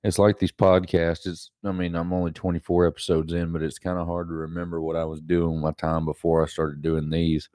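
Stuffy-nosed man with a very low voice. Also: flat delivery, fairly quick, few pauses, dark tone, no room sound, clean studio sound. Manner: rambling, repeats himself, self-deprecating laughs. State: stuffy nose from allergies. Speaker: podcast host. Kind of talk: casual solo podcast monologue.

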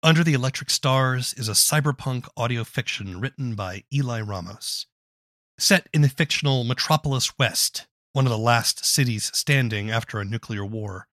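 A man hosts a podcast, reading prepared narration. His voice has a middling pitch, and the recording is very dry with no room sound. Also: fairly bright tone, dry recording. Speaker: podcast host and interviewer. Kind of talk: scripted narration.

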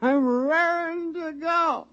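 A man's voice: very high-pitched, takes it slowly, pushed and bright, no room sound, soft background music, exaggerated voice. An actor voicing a cocky, pleasure-loving male mouse with brash, slangy talk in a 1950s radio drama. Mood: impatient, eager. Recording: dull 1950s radio recording.

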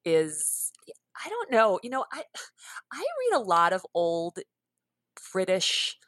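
A woman's voice: medium pitch, wildly swooping pitch, takes it slowly, a bit bright, dry recording, studio quality. A woman guest author in a conversational interview, chatty, laughing often and trailing off to restart her thoughts.

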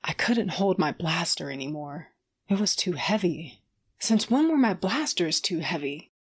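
A tough, stubborn female gunslinger tells her own story in a sci-fi audio drama. Her voice sits a little low, and she is wry and plain-spoken about being wounded. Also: animated, measured pace, slightly rough, heavily acted. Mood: strained, wry. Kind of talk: first-person audio drama narration.

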